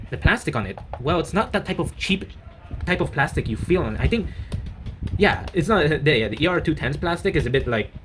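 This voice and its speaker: A man giving a casual, unscripted product review, speaking haltingly and rambling with frequent self-corrections. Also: fairly high pitch, a bit bright, slight background noise.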